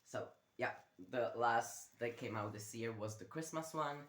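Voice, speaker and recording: A young man chats loosely about books, filling his talk with fillers and side remarks. His voice sits at an average pitch and rings in a roomy bedroom echo. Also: a little slow, a bit bright. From Czech Republic. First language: Czech. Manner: chatty, rambling, with many fillers. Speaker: book vlogger. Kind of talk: casual chat.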